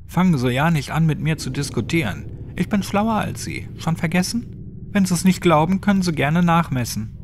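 Man putting on a higher-pitched, scornful, sadistic old man. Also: expressive, moderate pace, background noise, lightly acted. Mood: irritated, scornful. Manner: clipped, slangy, mocking. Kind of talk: horror audiobook character voice.

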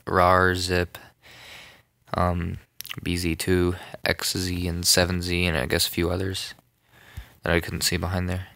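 A young man narrating a casual phone tutorial, rambling, with many ums and self-corrections, in a soft voice. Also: sits low, monotone, measured pace, creaky, no room sound, studio quality. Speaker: tutorial maker. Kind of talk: casual screen-recorded tutorial.

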